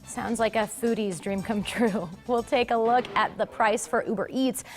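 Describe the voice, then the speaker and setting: A woman's voice: fairly high pitch, steady tone, normal speed, soft background music. A woman with an American accent anchoring a local newscast, mixing scripted news reading with co-anchor chat.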